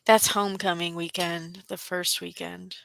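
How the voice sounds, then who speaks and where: A woman's voice: lowish voice, flat delivery, moderate pace, creaky, a bit bright, slight background noise. A tired woman chatting informally as co-host of a casual group livestream, giggly and exclamatory, close to her mic.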